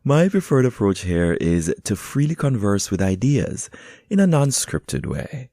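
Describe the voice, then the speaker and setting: A man's voice: on the low side, a little slow, no room sound, clean studio sound. A man from Jamaica, an English teacher hosting a podcast, chatting playfully with a student and then reading his show intro. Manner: lively, playful, switches between English and German.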